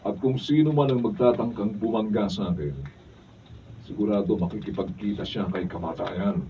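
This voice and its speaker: A man's voice: lowish voice, moderate pace, natural voice. Man acting a boastful Filipino hothead cop, muffled. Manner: boastful, confrontational. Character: arrogant hot-headed policeman, husband and father, spoiling for fights. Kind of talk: Filipino radio drama acting. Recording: muffled, distant behind-the-scenes studio capture.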